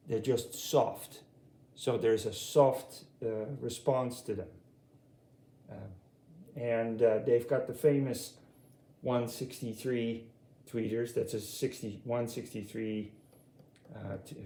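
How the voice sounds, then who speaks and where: A middle-aged man from Europe giving a casual, unscripted walkthrough as a hobbyist, speaking haltingly and repeating words. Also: mid-range voice, dark tone. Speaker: audio hobbyist presenting his speaker collection. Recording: echoey room sound.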